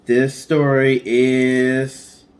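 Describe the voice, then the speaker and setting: A man's voice: mid-range voice, flat delivery, drawn-out words. A man serves as the laid-back co-host of a chatty show. He speaks informally, dropping in brief interjections and joking asides. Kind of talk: casual chat, short interjections.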